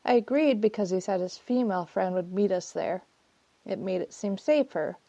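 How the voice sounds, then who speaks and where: A woman's voice: mid-range voice, normal speed, creaky, mellow, no room sound, muffled recording. A woman reading her own personal essay as scripted first-person narration.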